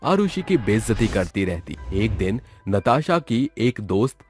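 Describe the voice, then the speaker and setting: A man's voice: on the low side, very animated, measured pace, a little mellow, soft background music. A man narrating a scripted cartoon story as the storyteller.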